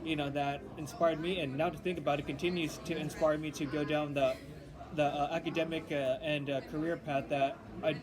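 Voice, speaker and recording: A young man talks haltingly, restarting his sentences and filling pauses with ums. His voice is slightly higher than average, and clear crowd chatter runs behind him. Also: level tone. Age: young adult. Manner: halting, many restarts and fillers. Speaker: linguistics student. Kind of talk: casual chat.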